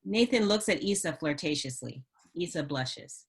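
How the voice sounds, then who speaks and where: Female narrator for screenplay table read, somewhat low voice, scripted scene descriptions. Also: animated, moderate pace, slightly rough. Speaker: stage-directions narrator.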